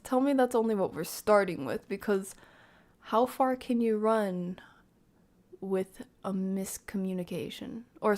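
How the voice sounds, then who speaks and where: A woman's voice: mid-range voice, a little mellow. A woman live streaming her reactions to a video in casual chat, with sarcastic, teasing asides.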